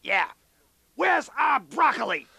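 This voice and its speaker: A man voicing a dim, pushy, hungry rhinoceros trader with gravelly, blunt slangy talk in a radio comedy. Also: very high-pitched, big pitch swings, slow, sharp tone, no echo, shouting, full character voice. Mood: hungry, demanding. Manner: blunt, cocky, slangy street-tough talk. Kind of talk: 1980s satirical radio comedy acting.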